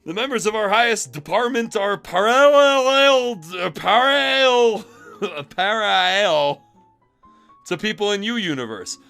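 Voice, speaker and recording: An excitable young cartoon fox agent, put on by a man, high and bright. He explains everything eagerly, with emphatic, swooping delivery. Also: unhurried, gravelly, clean tone, soft background music, heavily acted. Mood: excited, explaining.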